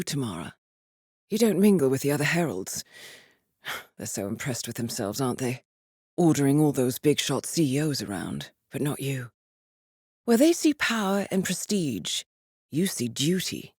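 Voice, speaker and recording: Female mission handler for a spy game: lowish voice, lively intonation, measured, precise, coolly understated. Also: dry recording, studio quality. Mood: quiet, intimate. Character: composed, articulate female intelligence handler guiding an assassin. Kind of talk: scripted video game mission briefing.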